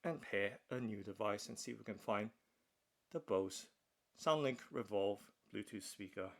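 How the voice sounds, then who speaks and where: A man presenting a hands-on gadget unboxing in a measured, matter-of-fact, step-by-step way. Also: fairly low pitch, creaky. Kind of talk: hands-on product demonstration narration.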